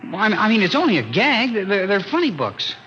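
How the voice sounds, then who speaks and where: A man's voice: sits high, wildly swooping pitch, quick pace, a bit bright, exaggerated voice. A man acting a nervous, defensive teenage schoolboy, evasive in 1950s radio drama style. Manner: stammering, evasive. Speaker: high school student. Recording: dull old radio recording, music behind.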